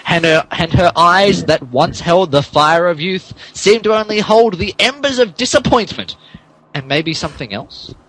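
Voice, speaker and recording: A man's voice: sits high, animated, normal speed, fairly bright tone, rough recording. A man narrating a comic dramatic reading in a mock-serious way, often stumbling over his words. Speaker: podcast host reading as narrator.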